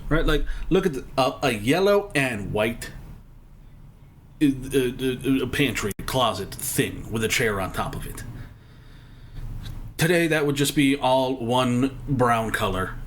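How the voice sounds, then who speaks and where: A man hosting a reaction video, chatting casually and rambling with halting fillers. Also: mid-range voice, lively intonation, a little hiss or hum.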